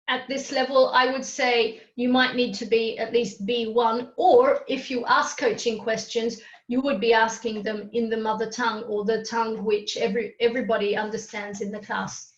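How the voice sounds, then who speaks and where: A woman's voice: on the high side, fairly even intonation, normal speed, echoey room, muffled recording. A woman whose first language is Hungarian, presenting a live webinar on coaching and checking in often with her listeners. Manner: explanatory, often checks in with "okay?".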